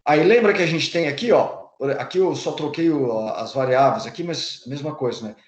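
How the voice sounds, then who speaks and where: A man's voice: a little high, normal speed. Brazilian man lecturing in an echoey room. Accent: Brazilian Portuguese. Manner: patient, repeats and rephrases points, many restarts. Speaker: university lecturer. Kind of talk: recorded lecture explaining slides.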